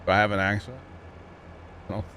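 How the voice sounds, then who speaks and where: Man, mid-thirties, from Missouri, casual gaming streamer, teasing and joking, lots of fillers, lowish voice. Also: steady tone, normal speed, pushed and bright, slight background noise. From Missouri, USA. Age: 30s. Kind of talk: casual livestream chat while gaming.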